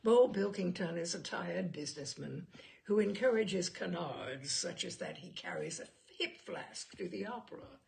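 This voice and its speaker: A woman reading literary humour aloud in an expressive, theatrical and wryly amused manner. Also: fairly low pitch, moderate pace, vocal fry, slight background noise. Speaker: reader of humour stories.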